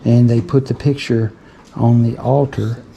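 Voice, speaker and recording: Mellow man reading a sign aloud slowly. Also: mid-range voice, fairly even intonation, gravelly, highs cut off. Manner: slow, careful reading aloud with pauses. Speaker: travel vlogger.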